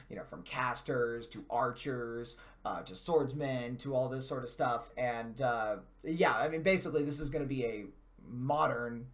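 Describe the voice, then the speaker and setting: A man hosting a live stream with casual gaming commentary, talking in a chatty, self-deprecating and mildly sweary way. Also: mid-range voice.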